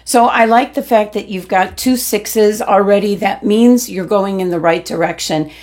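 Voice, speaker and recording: A woman giving a weekly tarot reading, losing her voice, with a gentle, meandering delivery full of pauses and ums. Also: mid-range voice. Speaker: tarot reader.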